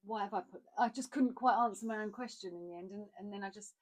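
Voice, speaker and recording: A woman's voice: medium pitch, fast. A woman co-hosting a casual chat about acting, talking candidly and reflectively, often restarting her sentences. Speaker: podcast co-host.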